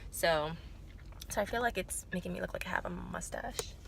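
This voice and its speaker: A woman's voice: lowish voice, normal speed, creaky, noisy background. A young woman with a cold, sounding congested and nasal, chatting casually to camera in a rambling way full of fillers and self-corrections. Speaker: vlogger.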